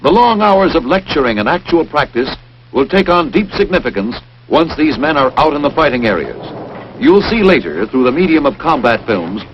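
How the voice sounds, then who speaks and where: A man's voice: fairly high pitch, big pitch swings, moderate pace. A man narrating a wartime training film in an emphatic, punchy 1940s newsreel-announcer style. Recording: dull, hissy 1940s film soundtrack.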